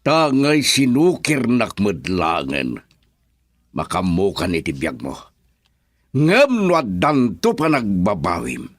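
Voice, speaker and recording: A man's voice: fairly low pitch, wildly swooping pitch, takes it slowly, no echo, studio quality, exaggerated voice. A man playing a stern, disapproving middle-aged father who warns his daughter in an Ilocano radio drama. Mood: stern resignation.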